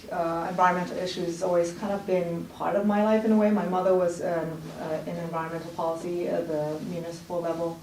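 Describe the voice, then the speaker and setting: A woman who grew up in Thailand talks casually on a panel, with plenty of fillers and asides. Her voice sits a bit low. She is heard from off-mic, with echo and a faint hiss behind her. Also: level tone, quick pace, few pauses, a little mellow, far from the mic. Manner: chatty, many fillers and "you know". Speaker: resident guest. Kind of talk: casual conversation. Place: TV studio. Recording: roomy studio heard from off-mic, faint noise.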